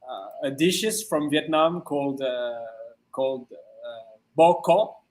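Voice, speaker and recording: A man from France, a music producer answering interview questions in halting English full of fillers. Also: medium pitch, darkish tone. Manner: halting, many "uh" fillers and restarts, simple non-native phrasing.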